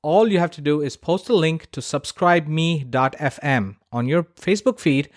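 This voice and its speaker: A man's voice: on the high side, normal speed, no room sound, clean studio sound. A man hosting a solo podcast, giving opinionated advice in a blunt, informal and emphatic way. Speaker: podcast host.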